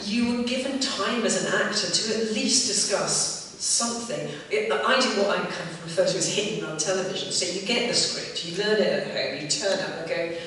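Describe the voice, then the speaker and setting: A woman's voice: medium pitch, normal speed, hardly any pauses, a bit bright, a little hiss or hum. A woman, a guest actor on a convention panel, chatting animatedly with many restarts. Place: large theatre stage. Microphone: distant hall pickup. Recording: echoey theatre from far off.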